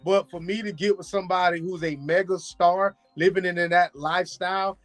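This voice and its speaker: A man on a talk show arguing in casual chat, blunt and animated. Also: sits high, fairly quick, no room sound, faint music behind. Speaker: show regular.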